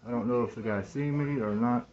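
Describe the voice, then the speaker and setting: A man in his thirties in a rambling, halting monologue, repeating words and phrases, recorded on a phone indoors through a cloth mask. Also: mid-range voice, darkish tone, dull audio. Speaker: vlogger talking to camera. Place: small room indoors. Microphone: phone at arm's length, speaking through cloth mask.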